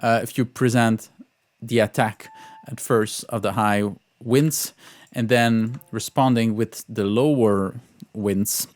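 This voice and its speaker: A man giving an informal music tutorial as a composer, thinking aloud in a halting way. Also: mid-range voice, steady tone. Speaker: composer teaching orchestration.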